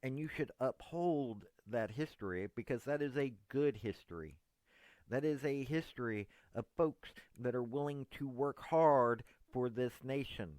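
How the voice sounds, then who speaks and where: A middle-pitched man in his fifties with an East Tennessee drawl, speaking in a folksy, rambling but earnest way. His voice sits close to the mic with a dry, dead sound and no room echo. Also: a little slow, darkish tone. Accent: Southern US, East Tennessee. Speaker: political commentator. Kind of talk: direct-address monologue to a politician.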